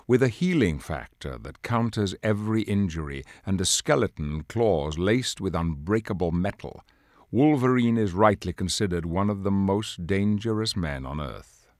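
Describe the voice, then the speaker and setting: A man's voice: low voice, expressive, moderate pace, a little mellow, dry recording, studio quality. A man playing a grave, dignified leader of superhero mutants, delivering solemn, portentous dramatic narration for a video game. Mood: grave, measured. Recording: music under the voice. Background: music.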